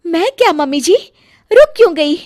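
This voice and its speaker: Lady doing a sweet young indian bahu for a cartoon, pleading, really high n swoopy. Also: very animated, moderate pace, darkish tone, no room sound, clean studio sound. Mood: hurt, protesting. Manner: pleading, respectful, emotional. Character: sweet, hurt young daughter-in-law with secret powers. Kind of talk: Hindi moral-story cartoon acting.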